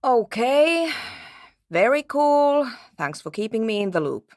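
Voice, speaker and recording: A woman's voice: on the high side, sing-song, slow, darkish tone, no room sound. An actress playing a brusque, nerdy woman programmer in a video game, with a dry, matter-of-fact, sardonic manner. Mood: irritated, sardonic. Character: brusque, nerdy young woman programmer researching a radio anomaly. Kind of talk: video game character dialogue.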